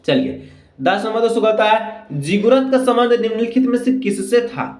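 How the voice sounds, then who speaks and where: A man's voice: high-pitched, normal speed. A man teaching exam questions and answers, repeating himself and asking rhetorical questions as he goes. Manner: repetitive, frequent check phrases and rhetorical questions. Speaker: teacher, exam-prep video host. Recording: echoey room sound.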